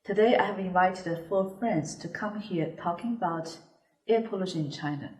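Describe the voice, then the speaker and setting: A woman hosting a student discussion and asking the group measured questions. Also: on the low side. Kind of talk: scripted discussion. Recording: echoey room.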